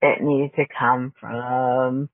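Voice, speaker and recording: A woman's voice: a bass voice, little pitch movement, slow, no echo. A woman from Texas giving a reflective, earnest answer in a recorded listener message. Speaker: listener caller. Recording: tinny phone line.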